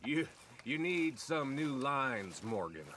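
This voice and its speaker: Sharp, combative outlaw. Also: male voice, mid-range voice, very animated, slow pace, slight background noise. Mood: irritated, threatening. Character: touchy, threatening American outlaw man.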